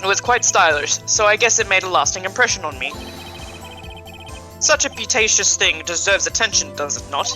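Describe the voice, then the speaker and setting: A woman's voice: medium pitch, expressive, moderate pace, music in the background, natural voice, poor audio. A woman voicing a pompous, vain businessman on the witness stand in a live game dub, with affected, wordy delivery.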